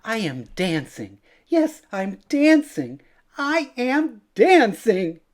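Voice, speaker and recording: Sensitive storybook giraffe, voiced by a woman, male, clumsy, tender-hearted, higher pitch. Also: very animated, slow, darkish tone. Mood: elated.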